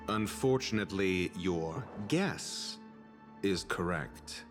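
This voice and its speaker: An actor playing a condescending, coolly superior scholar in a video game, explaining things in a deliberate, haughty way. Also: male voice, sits low, animated. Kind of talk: dramatic video game voice acting. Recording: long hall reverb, music behind.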